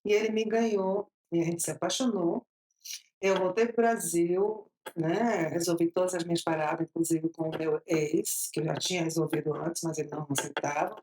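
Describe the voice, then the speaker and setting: A woman's voice: on the low side, steady tone, darkish tone, a little hiss or hum. A woman in her fifties from Bahia, Brazil, with a Bahian accent, telling her story as an interview guest, chatty and laughing often. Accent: Bahian Brazilian Portuguese. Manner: chatty, laughs often, drawn-out slow phrases.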